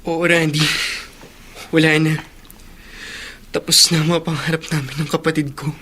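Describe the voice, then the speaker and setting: Afflicted big brother, slightly high pitch, forceful bright tone, sincere, heavy-hearted, radio drama. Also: male voice, flat delivery, normal speed, breathy edge. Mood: despairing. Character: earnest, afflicted elder brother clinging to faith. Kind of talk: Filipino radio drama acting.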